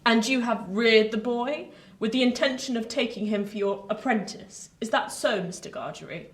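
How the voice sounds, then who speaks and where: A bitter, commanding old lady of a Victorian radio drama, acted by a woman, fairly high in pitch. She speaks with haughty theatricality. The sound is roomy and set back from the mic. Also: moderate pace, natural voice. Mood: cold, commanding. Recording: roomy, distant, noisy home recording.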